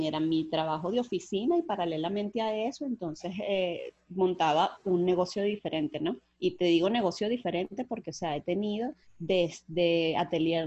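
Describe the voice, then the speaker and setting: A woman from Venezuela, chatting as a podcast guest in a lively way, with many restarts and quick laughs. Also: lowish voice, no echo. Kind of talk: casual interview chat. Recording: dull, dry lo-fi sound.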